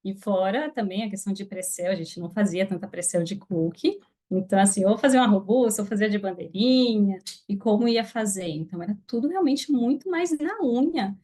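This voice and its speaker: A woman's voice: mid-range voice, brisk, dark tone. Earnest Brazilian woman podcast guest. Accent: Brazilian Portuguese. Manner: reflective, earnest, with hesitant restarts. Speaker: guest, affiliate marketer. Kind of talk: casual podcast interview.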